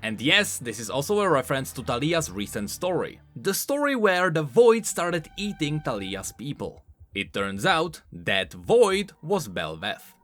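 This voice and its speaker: Higher-voiced man, very lively explainer. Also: a little slow. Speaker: video essay host, game lore commentator. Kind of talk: scripted explainer commentary with casual asides. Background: background music.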